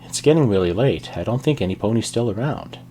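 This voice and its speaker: A man's voice: fairly low pitch, lively intonation, fast talker, warm tone, slight background noise, close to an everyday voice. Man reading a level-headed, skeptical pony shopkeeper. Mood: puzzled.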